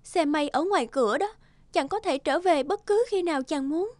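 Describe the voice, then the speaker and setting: A woman voicing a devoted young fairy wife in a cartoon, speaking softly in a formal, archaic way. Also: high voice, moderate pace, no room sound, close to an everyday voice. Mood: solemn. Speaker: voice actor. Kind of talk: cartoon dubbing.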